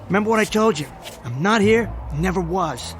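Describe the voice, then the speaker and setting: High-voiced, desperate small-time hustler man. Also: expressive, soft background music. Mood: wary, evasive. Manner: wheedling, nervous, stammering fast talker. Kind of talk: radio drama acting.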